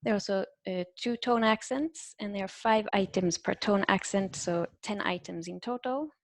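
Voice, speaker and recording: A woman's voice: mid-range voice, no echo, studio quality. Woman giving an academic talk. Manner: measured, frequent "uh" fillers, explanatory. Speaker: linguistics researcher presenting a talk.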